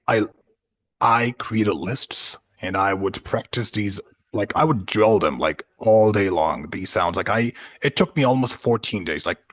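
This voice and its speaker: A man from Punjab whose first language is Urdu, a guest in an online class, talking in a relaxed way with lots of "you know" and "like". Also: lowish voice. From Punjab, Pakistan. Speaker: guest speaker.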